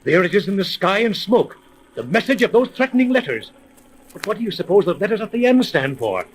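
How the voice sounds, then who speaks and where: An actor playing an anxious, demanding gentleman client in a 1930s radio serial, formal and peevish. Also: sits high, very animated, brisk, slight background noise, rough recording. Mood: alarmed.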